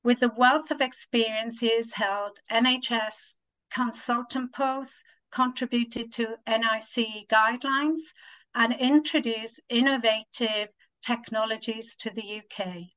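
A woman's voice: fairly high pitch, fairly even intonation, measured pace, a bit bright, poor audio. A woman hosting an interview, introducing her guest and asking polite, formal questions. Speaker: host.

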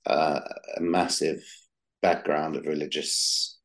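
A man's voice: very deep voice, little pitch movement, takes it slowly, a bit bright. A middle-aged man from Egypt, a psychiatrist answering interview questions with many hesitant ums and uhs. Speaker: psychiatrist guest.

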